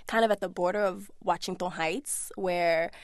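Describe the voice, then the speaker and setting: Animated woman in a dry studio interview. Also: lowish voice, moderate pace, dry recording. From United States. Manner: animated, acts out quoted voices. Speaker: actress and guest. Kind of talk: remote interview, candid reflective talk. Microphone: studio remote link.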